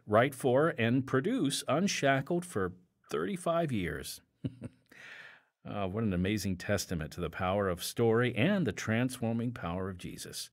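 A man hosting an audio drama podcast, reading announcements with casual, conversational asides. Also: lowish voice. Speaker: podcast host.

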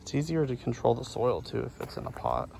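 A man's voice: mid-range voice, wildly swooping pitch, a little fast, vocal fry, darkish tone. A man in his thirties talking casually as a vlogger while filming himself outdoors. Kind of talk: casual vlog narration. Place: outdoors at a farm nursery. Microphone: handheld phone at arm's length. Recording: faint outdoor background noise.

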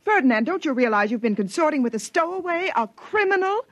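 An actress playing a bossy, prim young older sister in a 1950s radio drama, imperious and formal. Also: female voice, sits high, sing-song, quick pace, no room sound. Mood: indignant. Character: bossy, prim twenty-year-old older sister, stern and haughty.

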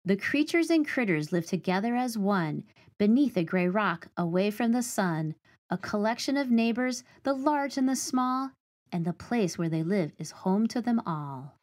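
A woman reading a picture book of rhymes and nature facts aloud to children, with expressive, clearly phrased delivery. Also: medium pitch, normal speed.

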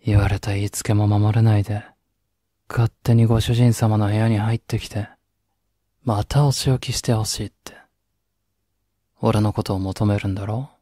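Guy doing a bossy dominant boyfriend, slow low murmur, dark husky voice, audio drama. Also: fairly even intonation, dry recording, studio quality, close to an everyday voice. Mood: domineering. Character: domineering young man scolding his lover.

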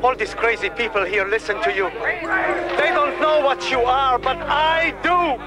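A man's voice: very high-pitched, measured pace, over loud music. An actor playing a grieving, accusing middle-aged man from Syria in a television drama. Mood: anguished, accusing. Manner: bitter, impassioned.

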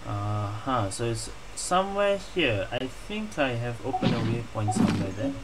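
A man's voice: mid-range voice, very animated, moderate pace, rough recording. A man giving casual gameplay commentary, muttering to himself and repeating words as he plays. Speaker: gamer playing and commenting. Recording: loud game music behind the voice. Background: video game music.

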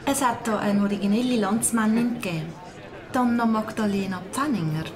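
A woman's voice: mid-range voice, fairly quick, crowd noise, close to an everyday voice. A woman playing a gossiping villager in a radio play. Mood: gossipy.

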